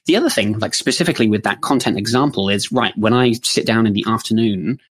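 A man, recorded on a podcast microphone. His voice sits on the low side, and he explains things casually, circling back and restarting his sentences as he goes. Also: quick pace. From New Zealand. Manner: explanatory, with frequent restarts and "sort of" fillers. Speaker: podcast co-host. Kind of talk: casual conversational podcast chat. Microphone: studio podcast microphone.

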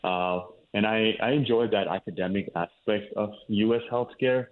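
A man's voice: fairly low pitch, normal speed, phone-quality sound. A man from India, a cardiologist, explaining his work in an interview, with many fillers and restarts. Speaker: cardiologist guest. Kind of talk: interview answers.

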